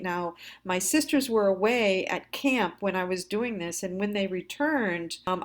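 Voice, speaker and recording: A woman hosting a confessional storytime, candid and self-deprecating, speaking haltingly with pauses. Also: mid-range voice. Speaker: storyteller, host.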